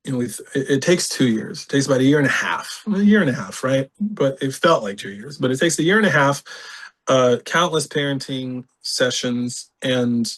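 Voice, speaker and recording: A man from California, a podcast guest, talks through his own past in a lively way, laughing often and acting out other people's words. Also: medium pitch, moderate pace. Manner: animated, laughs often, reenacts voices. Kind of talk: candid personal storytelling.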